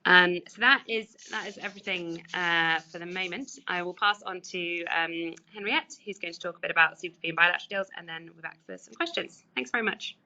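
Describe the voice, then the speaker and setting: A woman's voice: lowish voice, a little fast, hardly any pauses, pushed and bright. A woman presenting on a webinar in an informal, explanatory way, with a hesitant, conversational manner. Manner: hesitant, conversational, hedging with kind of. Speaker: trade official presenting. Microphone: online webinar call. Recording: dull, lo-fi webinar audio.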